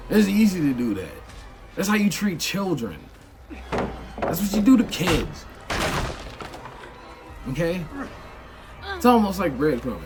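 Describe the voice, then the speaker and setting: Loud, emphatic man ranting, fairly high voice. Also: big pitch swings, measured pace, pauses often, over music. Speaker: game streamer. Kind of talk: ranting commentary.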